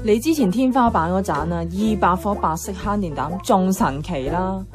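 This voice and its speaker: An actress playing a bossy, teasing young flatmate in a Cantonese radio drama, with a Hong Kong accent and a blunt, playful, colloquial way of talking. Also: female voice, mid-range voice, normal speed, music in the background, close to an everyday voice. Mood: breezy.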